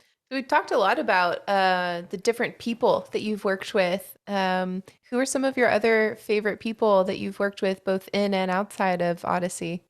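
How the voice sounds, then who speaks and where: Medium-pitched woman co-hosting. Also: normal speed. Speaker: podcast co-host. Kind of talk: casual conversational interview.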